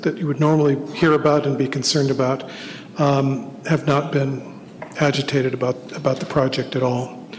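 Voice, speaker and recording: A man responding formally and carefully to concerns at a public hearing, with frequent fillers. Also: fairly high pitch. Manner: measured, formal, many fillers. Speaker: project representative. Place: city council chamber. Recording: council chamber reverb with faint noise.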